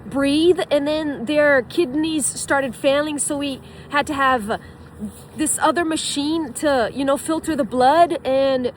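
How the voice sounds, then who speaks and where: Rambling Brazilian woman, slightly high voice. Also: slow pace, a little hiss or hum. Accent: Brazilian Portuguese. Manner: rambling, full of fillers and restarts. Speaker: former physician. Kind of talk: casual personal storytelling.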